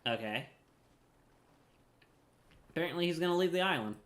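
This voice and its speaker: A man's voice: on the high side, lively intonation, normal speed, a bit bright. A man giving casual, playful gameplay commentary, tossing in silly interjections as he goes. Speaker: streamer.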